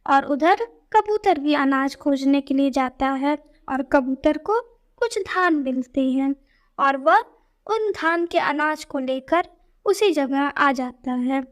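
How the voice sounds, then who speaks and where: Casting: female storyteller for children's tales, high voice, unhurried scripted narration. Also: expressive.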